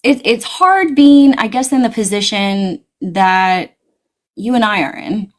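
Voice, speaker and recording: A woman chatting casually as a guest. She talks animatedly, often swinging her pitch up high and acting out her own reactions in quotes. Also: measured pace. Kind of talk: casual conversation.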